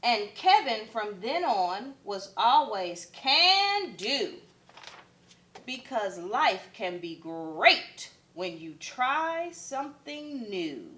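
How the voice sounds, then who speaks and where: A woman's voice: fairly high pitch, sing-song, extremely slow, sharp tone. A woman, a first grade teacher, reading a picture book aloud expressively for children.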